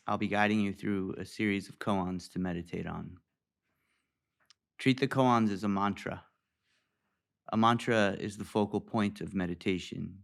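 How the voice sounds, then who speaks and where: A man leading a guided meditation as a teacher, speaking in a calm, deliberate way with pauses. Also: fairly low pitch, gravelly.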